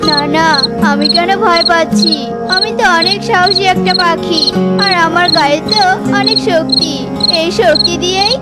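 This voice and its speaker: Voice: very high-pitched, normal speed. An actor voicing an eager cartoon eagle butcher, boastful yet secretly timid, with a vendor's hawking manner. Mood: nervous bravado. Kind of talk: children's cartoon acting. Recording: loud music bed behind.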